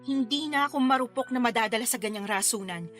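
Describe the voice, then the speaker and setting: A woman's voice: fairly high pitch, fast, close to an everyday voice. A woman acting a hurt but firm young woman in an emotional, reproachful Filipino radio drama performance. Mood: bitter, reproachful. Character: hurt, firm young woman rejecting her former lover. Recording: music bed under the voice.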